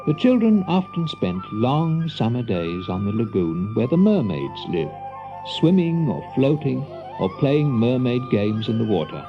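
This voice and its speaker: A man's voice: medium pitch, big pitch swings, unhurried, dull audio. A man narrating a children's story in a dramatic storybook style. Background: music.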